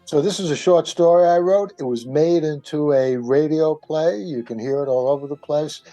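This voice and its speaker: A man's voice: on the high side, normal speed, dark tone, faint music behind. An older man talking casually as a radio guest, telling stories with many digressions. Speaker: radio panel guest, author. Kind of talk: casual discussion.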